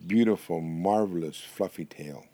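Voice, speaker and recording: A man's voice: deep voice, unhurried, mellow. A man voicing a vain possum who brags and savors each word in an oral animal folktale. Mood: boastful, vain. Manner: bragging, savoring each adjective. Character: vain boastful possum flaunting his fluffy tail.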